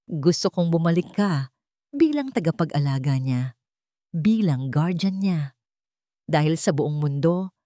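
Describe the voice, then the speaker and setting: A woman voicing a remorseful, gentle wealthy man in a narrated drama, pleading earnestly and haltingly. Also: lowish voice, dry recording, studio quality.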